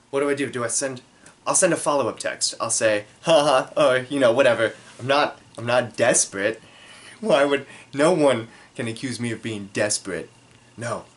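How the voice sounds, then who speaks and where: A man telling a comedic story from his own life in a theatrical, self-deprecating way, with dramatic pauses. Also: lowish voice, a bit bright.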